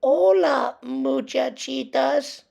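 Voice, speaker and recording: A woman voicing a little boy cat who poses as a brave swordfighting chihuahua in a storybook, drawn-out and melodramatic. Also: high-pitched, vocal fry, mellow, voice pushed up, exaggerated voice. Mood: sweet greeting.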